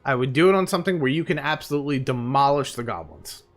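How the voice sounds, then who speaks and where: A man's voice: medium pitch, fast, a bit bright. A man streaming a game live, chatting casually and rambling with plenty of fillers, tired and losing his voice.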